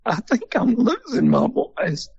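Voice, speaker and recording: An older man with a husky, gravelly voice, telling folksy stories in an interview. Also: very high voice, a little slow, dry recording, dull audio. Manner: folksy, animated storyteller. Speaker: museum founder and former country singer. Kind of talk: storytelling in an interview.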